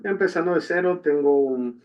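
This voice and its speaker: A man's voice: on the high side, normal speed. Guatemalan man rambling on a lo-fi podcast. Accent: Guatemalan Spanish. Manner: rambling, many fillers and restarts. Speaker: podcast co-host. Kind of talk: casual conversational storytelling. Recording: dull lo-fi podcast audio.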